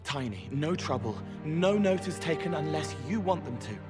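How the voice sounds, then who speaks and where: A man voicing a naive, eerie spirit boy in a video game, halting and plainspoken, eager to help. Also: fairly high pitch, expressive, in a large hall, over music, natural voice. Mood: pleading, gentle. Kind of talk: video game cutscene acting.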